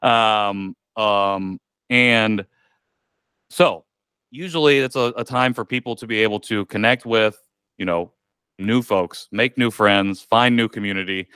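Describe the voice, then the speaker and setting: Reflective man, smooth voice. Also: lowish voice, steady tone, a little slow, bright, forceful tone, dry recording, clean studio sound. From United States. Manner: reflective, frequent likes and ums. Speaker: podcast guest. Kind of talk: candid personal storytelling.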